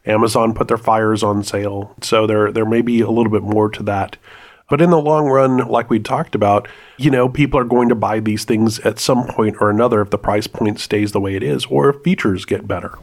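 A man's voice: fairly low pitch, fairly even intonation, a little fast. A man co-hosting a casual tech podcast, breaking into mock-pompous speeches. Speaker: podcast co-host.

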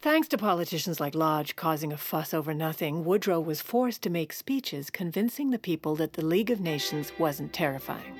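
A woman playing a sharp, scheming First Lady, confiding wryly in a comedic audio drama. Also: low-pitched, expressive, moderate pace, hardly any pauses, husky, soft background music. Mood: wry storytelling. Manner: conspiratorial, wry storytelling.

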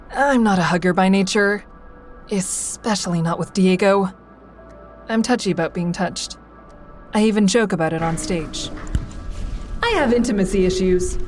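A woman's voice: mid-range voice, darkish tone, close to an everyday voice. Female narrator, played by an actress, guarded trauma survivor with dry wit, mid-range voice, music underneath. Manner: wry, dry humor. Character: guarded, wry woman narrating her trauma survivors' group. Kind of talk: first-person audio drama narration.

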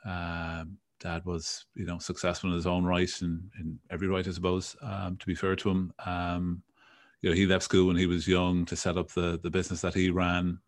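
A man around forty speaks with a Dublin Irish accent in a very low voice. He talks casually and conversationally, like an entrepreneur telling his own story on a podcast. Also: monotone, moderate pace. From Dublin, Ireland. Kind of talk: casual autobiographical interview talk.

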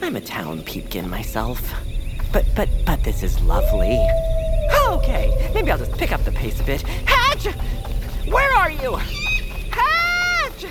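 A woman's voice: high voice, huge pitch swings, slow pace, heavily acted. A woman voicing a timid, polite young bird-like creature in a family audio drama, stammering and nervously self-reassuring. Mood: anxious calling. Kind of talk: family audio fiction character acting.

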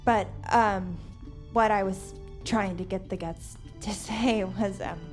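A woman's voice: medium pitch, steady tone, slow, soft background music, natural voice. An actress playing an earnest, nervous young woman who fights monsters in an audio drama. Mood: nervous, tender.

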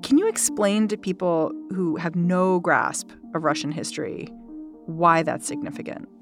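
A woman's voice: fairly low pitch, moderate pace, over music. A woman hosting an interview, asking curious, conversational questions. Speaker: interview host.

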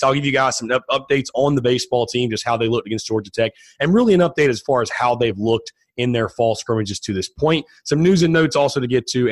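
A man hosting a sports podcast, talking casually with a slightly raspy, hoarse voice from allergies and yelling, chatty and energetic. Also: no room sound. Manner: energetic, chatty, repeats himself while making pitches. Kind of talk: casual solo podcast talk.

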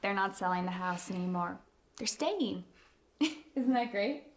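A woman's voice: medium pitch, expressive, unhurried. An actress playing a breezy, needling woman friend in a TV thriller film.

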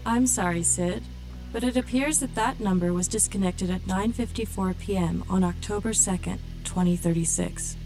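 A woman's voice: mid-range voice, normal speed, faint music behind, close to an everyday voice, low-quality recording. A woman voicing a polite phone assistant AI. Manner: calm, courteous, precise.

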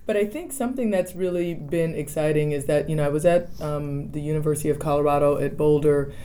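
An American woman talking casually as a guest in an interview, expressive as she tells things and voices other people's words. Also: deep voice, fast talker. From United States (Texas). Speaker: interviewed guest, dancer and choreographer.

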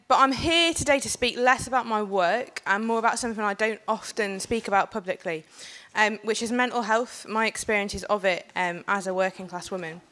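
A woman with a cold giving an earnest, personal talk on a panel in a packed room, building steadily to forceful points. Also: mid-range voice. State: has a cold. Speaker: panel speaker and organiser.

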